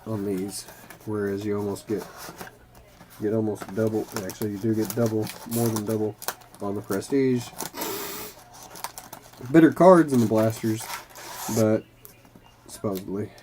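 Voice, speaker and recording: A man's voice: on the low side, noisy background. A man hosting a trading-card opening video, still a bit congested from bronchitis, casually reading out player names as he opens packs. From Kentucky. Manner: unhurried, reads player names as cards flip. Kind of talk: casual card-ripping commentary.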